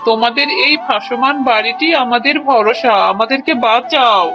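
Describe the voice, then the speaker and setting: A woman's voice: on the high side, normal speed, music in the background, lightly acted. A woman voicing a desperate, apologetic tigress pleading in a children's cartoon. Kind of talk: Bengali children's cartoon dubbing.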